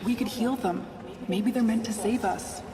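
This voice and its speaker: An actress playing a gentle, compassionate woman in a video game, loving and steadfast, speaking with earnest, tender pleading. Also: fairly high pitch, moderate pace, a crowd in the background, natural voice. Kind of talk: dramatic video game voice acting. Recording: distant, long echoing reverb, loud music.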